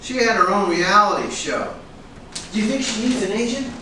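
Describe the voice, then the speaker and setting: A man playing a pushy, fretting talent agent in a staged reading, with halting, deliberate delivery. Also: high voice, very animated. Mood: catty, curious. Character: pushy, fretting male talent agent, accusing then flattering. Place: room at a live staged reading. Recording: echoey room, distant mic, noisy.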